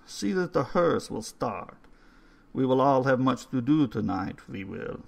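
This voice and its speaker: A man voicing an old undertaker who speaks in a formal, slow and ominous way. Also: mid-range voice, big pitch swings, creaky, pitched above the performer's own voice, exaggerated voice. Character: old Hungarian male undertaker, courtly and eerie.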